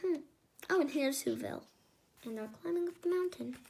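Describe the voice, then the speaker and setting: A woman reading a children's picture book aloud, expressive and chatty, breaking into casual remarks on the pictures. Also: high-pitched, fairly quick, gravelly, noisy background.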